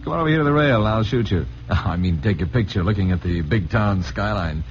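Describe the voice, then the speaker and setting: Man as slangy American cameraman, low swooping voice, wisecracking, friendly, vintage radio comedy-drama. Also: expressive, brisk, natural voice. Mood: breezy, teasing. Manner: slangy, joking, breezy. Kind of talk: 1940s radio acting. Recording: muffled, faintly noisy old radio recording.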